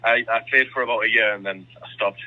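A man's voice: lowish voice, steady tone, fast talker, sharp tone. A young man talking hesitantly and agreeably in a phone conversation, over a tinny telephone line. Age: young adult. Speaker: army applicant, prank call target.

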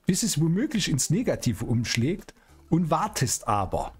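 Guy streaming a roleplay game, kinda high husky voice, drawn out teasing way of talking. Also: very animated, normal speed. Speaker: streamer playing a role-play game. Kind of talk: casual in-character gaming chat. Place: indoor streaming room. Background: music.

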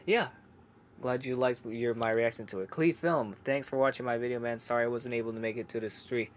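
Young male creator, casual comment-reading vlog, hushed delivery, chatty and halting. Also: mid-range voice, a little hiss or hum. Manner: chatty, hesitant, many fillers and restarts; kept quiet so family can sleep. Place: home laundry room.

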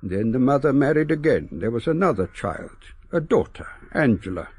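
An actor playing a sentimental, eloquent old English gentleman in a radio drama, reminiscing with wistful, theatrical, deliberate phrasing. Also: mid-range voice, sing-song, breathy edge, dry recording. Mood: regretful. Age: older.

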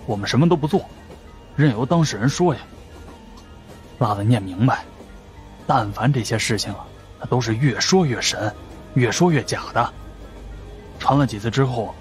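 A man voicing an unhurried, knowing veteran investigator who explains things in a measured way in an audiobook. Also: medium pitch, voice pushed up, close to an everyday voice.

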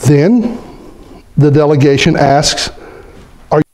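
Animated middle-aged pastor preaching. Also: male voice, slow pace, low-quality recording. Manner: animated, gestures a lot, asks the congregation questions; drops his voice low when quoting scripture figures. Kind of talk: sermon. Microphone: stand mic in front of speaker.

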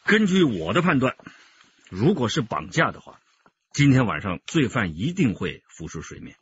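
An actor playing a shrewd, confident, wryly good-humoured police detective chief in a radio drama. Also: male voice, medium pitch, big pitch swings, moderate pace, slight background noise, close to an everyday voice. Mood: confident, teasing. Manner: self-assured, bantering. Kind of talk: Chinese radio drama acting. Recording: dull radio-drama recording.

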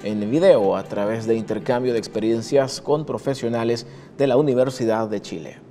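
A man's voice: medium pitch, normal speed, over loud music. A man as news anchor giving a conversational studio news introduction in a TV news studio.

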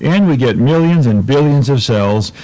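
Emphatic male science narrator, medium pitch. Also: animated, normal speed, a little mellow, low-quality recording.

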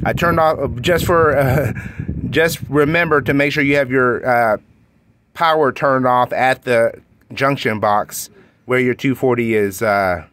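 A man's voice: medium pitch, fairly even intonation, a little slow, a bit bright. A man walking around his backyard hot tub with a phone camera, explaining features in a rambling, self-correcting way full of fillers. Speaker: hot tub owner reviewing his own tub. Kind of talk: casual handheld product walkthrough. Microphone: phone camera held by the speaker.

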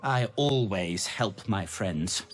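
A man playing an earnest, loyal wanderer in a video game, speaking solemnly and deliberately. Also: lowish voice, slight background noise. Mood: earnest, worried. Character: earnest, loyal male wanderer searching for a friend. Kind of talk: video game dramatic acting.

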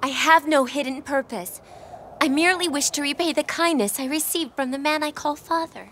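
High-voiced, pleading young noblewoman. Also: normal speed, slight background noise. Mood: troubled, demure. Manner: soft, earnest, pleading. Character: gentle young noblewoman, pleading, demure, secretly scheming. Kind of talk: dramatic video game voice acting.

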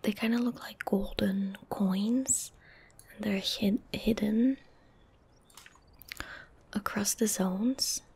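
A young woman doing soft-spoken ASMR gameplay commentary, gentle and breathy, close on a studio microphone. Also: mid-range voice, steady tone. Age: young adult. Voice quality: breathy, whispered. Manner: gentle, unhurried, casual. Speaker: ASMR game streamer. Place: indoor streaming room.

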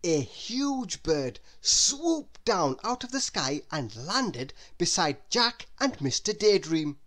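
A woman reading a children's story aloud in a deliberate, expressive way, as if to a young listener. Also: fairly low pitch. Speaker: storybook reader. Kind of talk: scripted children's story narration.

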